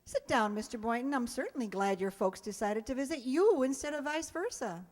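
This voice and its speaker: Wry, high-voiced schoolteacher played by a woman, echoey hall. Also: expressive, a little fast, a little mellow, natural voice. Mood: welcoming. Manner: dry, sardonic. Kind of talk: radio sitcom acting. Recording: echoey hall, long reverb.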